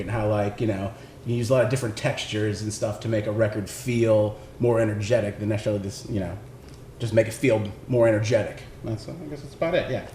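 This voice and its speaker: A man chatting casually and unscripted about his picks, rambling and halting as he finds his words. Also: medium pitch, a little mellow. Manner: halting, rambling, laid-back phrasing. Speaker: recording engineer presenting record picks. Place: indoors in a record shop. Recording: roomy echo, faint background noise.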